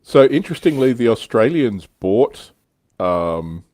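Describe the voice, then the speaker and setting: A man's voice: medium pitch, sing-song, unhurried, a little mellow, no echo. A man hosting a casual livestream discussion about a hobby, talking conversationally. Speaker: livestream host.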